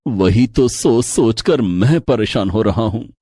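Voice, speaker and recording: A man's voice: on the low side, animated, measured pace, mellow, husky, no room sound, clean studio sound. A man playing a poor, loving working father in a moral story, speaking tenderly, plainly and earnestly. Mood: troubled, sad. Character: poor, loving father, a troubled hotel waiter. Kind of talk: Hindi moral story voice acting.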